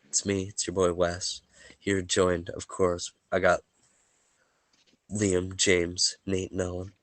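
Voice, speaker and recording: Sniffly, deep-voiced young man game master. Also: completely flat delivery, slow, dry recording. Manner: laid-back, joking, stumbles and restarts. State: sniffly from allergies, voice worn. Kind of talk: casual chat and recap narration for a tabletop game.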